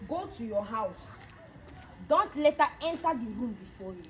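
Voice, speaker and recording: A woman plays a commanding prophet, her high voice leaping up and down as she barks orders. She repeats her commands and prays loudly. The take is full of noise. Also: expressive, moderate pace, close to an everyday voice, low-quality recording.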